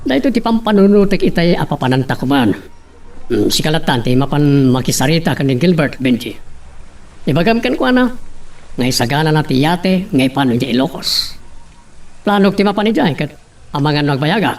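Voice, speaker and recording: A middle-aged man playing an exasperated father keeping the peace in an audio drama, with a creaky voice. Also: a little high, sing-song, fairly quick. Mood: concerned.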